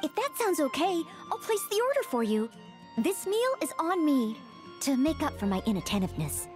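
Young maid knight, anime game, high pitch, animated, formal, helpful, music behind. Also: female voice, normal speed. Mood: polite, hospitable. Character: earnest, polite young maid knight, eager to serve. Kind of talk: anime game character dialogue.

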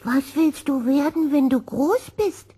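A woman voicing a gentle, wistful little boy kitten in a children's storybook, speaking earnestly. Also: sits high, a little slow, soft background music, pitched above the performer's own voice.

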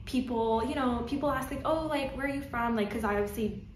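A woman's voice: a little high, brisk, a little hiss or hum. Chatty young Canadian woman, echoey living room. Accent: Canadian English. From Toronto, Canada. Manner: chatty, lots of "like" and "um", gestures while talking. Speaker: vlogger. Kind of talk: casual vlog talking to camera. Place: living room, seated on sofa.

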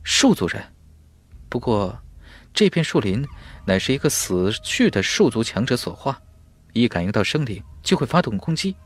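A man's voice: medium pitch, very animated, moderate pace, slightly rough. A man voicing a determined young cultivator hero in an audiobook, musing with self-possession. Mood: wary. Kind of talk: audiobook character voice.

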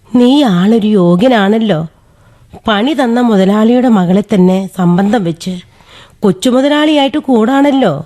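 A woman's voice: on the high side, mellow, lightly acted. A woman with a Kerala accent playing a firm, elderly village aunt who runs the household in a radio drama. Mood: wry. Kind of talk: Malayalam radio drama acting.

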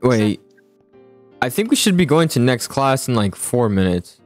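A young man improvising a casual game roleplay as a student, slangy and excitable, often stammering and repeating himself. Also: medium pitch, unhurried, soft background music. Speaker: roleplay video host playing a student.

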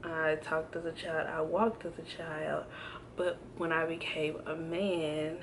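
A woman in her early twenties chatting casually to camera, answering a list of questions in a relaxed, hesitant way with many pauses and restarts. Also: low-pitched, noisy background. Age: 20s. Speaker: vlogger. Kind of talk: casual tag video chat. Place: indoors at home, in front of a backdrop. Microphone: camera framed close, seated.

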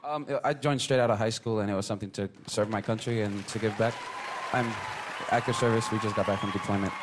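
A man's voice: lowish voice, fairly even intonation, quick pace, very noisy. A man in his twenties from American Samoa, a soldier, talking earnestly about his singing. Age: 20s. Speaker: contestant, soldier. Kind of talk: interview and audition chat. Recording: music under, cheering crowd.

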